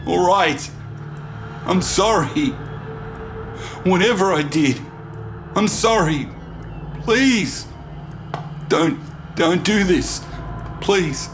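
An actor in an audio drama playing a frightened, wealthy lawyer who stammers, rambles and pleads. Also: male voice, high-pitched, lively intonation, extremely slow, long pauses, noisy background, full character voice. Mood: panicked pleading.

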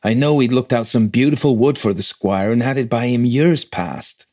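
A man's voice: on the low side, a little fast, a little mellow, close to an everyday voice. Village clerk, done by a male reader: rustic, respectful, shockable working man for a storybook ghost tale. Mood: shocked. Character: shocked, deferential old-fashioned village clerk.

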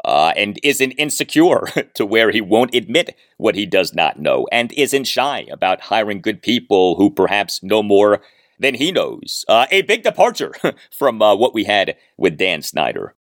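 Deep-voiced sports podcast guy, close dry sound, lays out his points deliberately and with emphasis. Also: sing-song, a bit bright, no echo. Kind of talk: conversational sports analysis.

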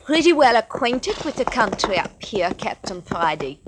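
A woman's voice: on the high side, sing-song, moderate pace, background noise. An actress playing a guarded, mysterious woman of twenty in 1940s radio drama style.

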